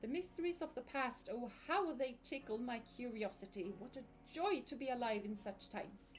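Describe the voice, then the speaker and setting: A woman voicing an excitable, old-fashioned male professor in a video game, trying a Scottish accent, with theatrical, formal phrasing. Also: fairly high pitch, normal speed, faint music behind, close to an everyday voice. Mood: excited wonder. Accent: put-on Scottish attempt.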